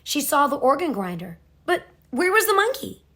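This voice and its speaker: A woman reading a children's picture book aloud in an expressive, measured storytelling style. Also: sits high. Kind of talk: scripted storybook narration.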